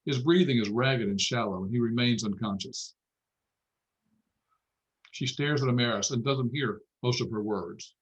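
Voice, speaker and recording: A man serves as narrator at a screenplay table read. He reads out stage directions in a matter-of-fact way, with a medium-pitched voice. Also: moderate pace, long pauses, dull audio.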